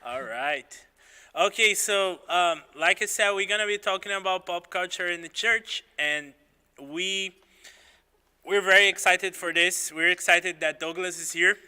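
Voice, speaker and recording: A man's voice: high-pitched, unhurried, pushed and bright, clean tone, in a large hall. A man hosting a live-streamed panel chat, upbeat and friendly, laughing often. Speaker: host.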